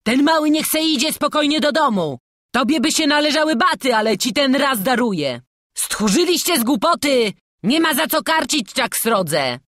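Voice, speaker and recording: A woman's voice: on the low side, lively intonation, a little slow, fairly bright tone, no room sound, clean studio sound, pitched above the performer's own voice. A woman voicing an aging male bandit in an audiobook, bullying and menacing, with barked threats and mocking coaxing. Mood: wheedling. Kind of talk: audiobook storytelling.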